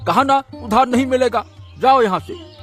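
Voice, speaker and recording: A man's voice: very high-pitched, normal speed, a bit bright. A man voicing a stingy, rude shopkeeper who scolds and mocks in a cartoon story. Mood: scornful, dismissive. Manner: scolding, contemptuous. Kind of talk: cartoon story character acting. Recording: music under the voice.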